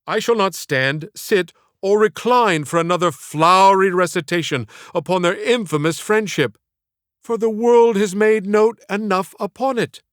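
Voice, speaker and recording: Man voicing an indignant, high-pitched young woman orator. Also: unhurried, fairly bright tone, clean tone, no room sound, studio quality. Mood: mock indignation. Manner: declamatory, florid, indignant. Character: exasperated, defiant young woman making a grand mock-formal speech.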